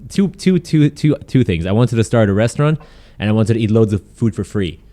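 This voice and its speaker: A young man from Germany and the UK tells stories quickly and with energy, dropping "like" often. His voice sits fairly low and has a dark, mellow tone. He speaks as a guest in a relaxed podcast interview. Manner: quick, animated storytelling with frequent "like". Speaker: guest content creator.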